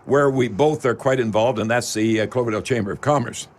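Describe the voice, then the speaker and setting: A man hosts a casual radio talk show in a studio. He speaks in a folksy, halting way, often pausing mid-sentence as he addresses his listeners directly. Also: medium pitch.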